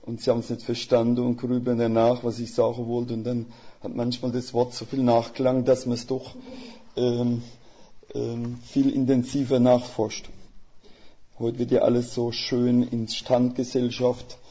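An older man preaching as a guest speaker in a folksy, plain-spoken way, with a Swiss German dialect and a gravelly voice. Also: medium pitch, completely flat delivery, a little slow, mellow. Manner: folksy, plain-spoken, with homely images and rhetorical questions. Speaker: missionary. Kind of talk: sermon. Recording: slightly dull lo-fi sound.

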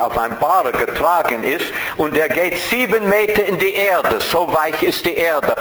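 A man's voice: mid-range voice, fairly bright tone. Man lecturing passionately in an echoey hall. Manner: dramatic, emphatic, repeats key phrases, voice rising sharply at climaxes; non-native German grammar. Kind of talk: impassioned lecture.

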